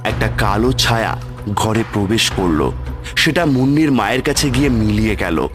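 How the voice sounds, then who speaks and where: A man's voice: on the low side, expressive, moderate pace. A man narrating a scripted horror story as its storyteller. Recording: music bed under the voice. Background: music.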